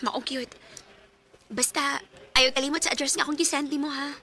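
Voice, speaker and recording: A woman's voice: high voice, fairly even intonation, moderate pace. An actress with a Cebuano Filipino accent playing a concerned, nosy and chatty female friend in a radio drama. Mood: checking in. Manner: chatty, questioning. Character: concerned, nosy girlfriend confidante, cautioning and chatty. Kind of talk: Bisaya radio drama acting.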